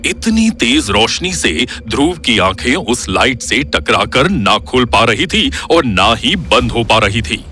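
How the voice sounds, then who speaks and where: A male storyteller delivers scripted horror narration with dramatic suspense. His mid-pitched voice is bright and forceful, moving very slowly over a music bed. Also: big pitch swings.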